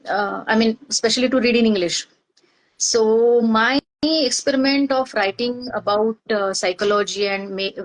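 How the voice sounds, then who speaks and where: Middle-aged woman from india, marathi first language, halting and reflective, many fillers. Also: mid-range voice, poor audio. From Maharashtra, India. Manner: hesitant, many pauses and fillers. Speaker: guest, organizational psychologist. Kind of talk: reflective personal interview.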